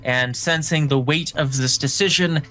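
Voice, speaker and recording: A man's voice: fairly high pitch, normal speed, bright, forceful tone, soft background music. A man acting as game master, narrating a dramatic recap and explaining rules casually.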